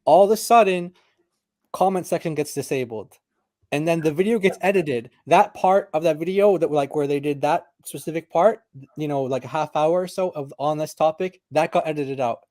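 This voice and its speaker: Mellow man presenting in a debate chat. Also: fairly high pitch, moderate pace, dry recording. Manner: relaxed, laughs between points. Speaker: guest presenter. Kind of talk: casual religious debate chat.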